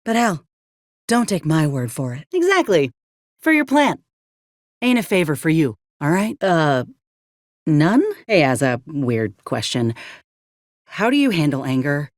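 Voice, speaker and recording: A woman's voice: low-pitched, big pitch swings, unhurried, long pauses, no room sound, clean studio sound. An actress playing a frank, caring young woman soldier in a game, earnest and plain-spoken with folksy turns of phrase. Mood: frank, troubled. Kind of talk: video game companion dialogue.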